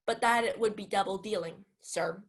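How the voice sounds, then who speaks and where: A woman's voice: mid-range voice, normal speed, gravelly, natural voice. A young woman playing a witty, wheedling household clown in a radio-style Shakespeare play. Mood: cheeky, wheedling. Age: young adult.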